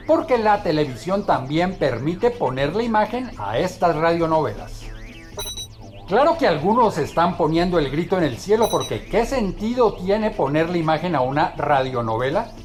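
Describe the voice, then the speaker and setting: A man's voice: a little high, very animated, moderate pace. A man with a Colombian accent presenting a scripted television segment as host and viewers' advocate. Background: music.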